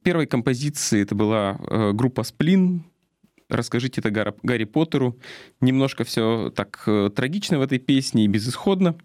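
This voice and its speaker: A man in his forties giving political commentary as a radio host, speaking haltingly with pauses. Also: mid-range voice, animated, a little mellow. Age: 40s. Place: radio studio.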